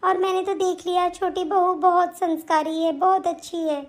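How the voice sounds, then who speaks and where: A woman's voice: very high voice, level tone, normal speed. A woman voicing an affectionate, long-suffering elderly mother-in-law in a melodramatic family cartoon, with a plaintive, motherly manner. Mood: resigned, reassuring.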